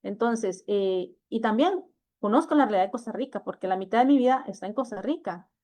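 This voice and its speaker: A woman from El Salvador, an educator moderating a panel discussion, speaking in a measured way with frequent hesitations and fillers. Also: medium pitch, expressive, warm tone.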